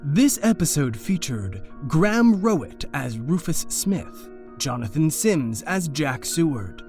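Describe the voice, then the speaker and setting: A man announcing scripted credits at the end of an audio production. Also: medium pitch.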